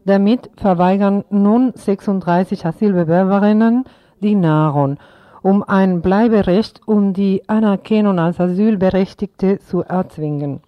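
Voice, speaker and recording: A woman's voice: medium pitch, a little slow, no echo. A woman presenting the news on the radio. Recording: dull lo-fi radio recording.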